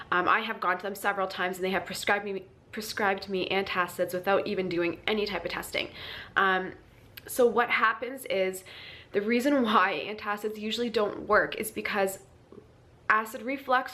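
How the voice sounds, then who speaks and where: A woman with a cold talks straight to her viewers, her voice stuffy and nasal. She explains things in a loose, chatty way, full of ums and little restarts, pitched in an ordinary middle range. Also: bright, forceful tone. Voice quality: nasal, congested. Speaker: wellness vlogger. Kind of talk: casual advice to camera.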